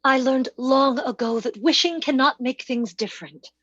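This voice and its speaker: A woman playing a gentle, sweetly sure young woman, earnest, in an old-time radio drama style. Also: high voice, moderate pace, no echo, highs cut off. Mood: sorrowful refusal.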